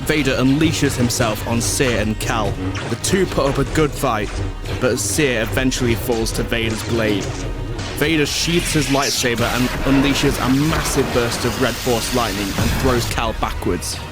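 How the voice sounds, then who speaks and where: A man reads scripted narration for a space adventure audio drama. His voice sits at an average male pitch, with clearly audible music behind it. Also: measured pace. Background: loud music.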